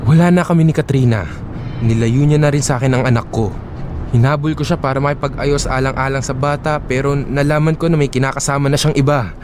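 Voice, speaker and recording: A man with a Filipino accent playing a smooth-talking, persistent young ex-boyfriend in an audio drama. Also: mid-range voice, fairly even intonation, fast talker, background noise, close to an everyday voice. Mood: earnest, pleading.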